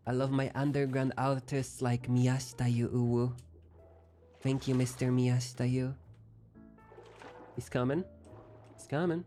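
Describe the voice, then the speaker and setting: Brief: young male streamer, mid pitch, loose self-deprecating chatter, light music underneath. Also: fairly even intonation, measured pace, long pauses, warm tone. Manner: rambling, playful, self-deprecating. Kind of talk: casual chat while gaming.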